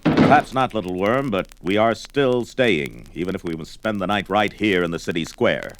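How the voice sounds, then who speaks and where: An actor playing a gruff, domineering barbarian hero, bold and commanding, declaiming in archaic 1970s fantasy audio drama style. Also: male voice, deep voice, lively intonation, normal speed. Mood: commanding, stubborn. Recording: music behind the voice.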